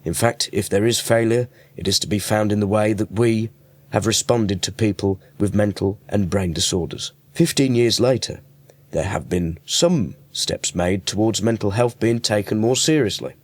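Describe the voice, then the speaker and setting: A man's voice: fairly low pitch, moderate pace, darkish tone, no room sound. A man, reading scripted links and questions as a radio presenter.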